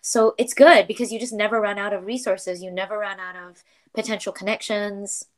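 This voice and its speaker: A woman's voice: mid-range voice, steady tone, a little fast. A young adult woman from Malaysia, a paralegal talking as a podcast guest in a chatty way, laughing often and filling with "like". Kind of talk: casual interview chat.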